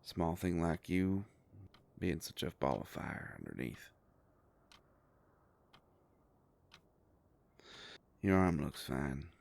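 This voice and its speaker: A very deep, gravelly male werewolf private eye, acted by a man for an intimate audio drama. He talks slowly in a folksy, halting way, gruff but protective, with a gently teasing streak. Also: expressive, no room sound, exaggerated voice. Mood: amused, impressed.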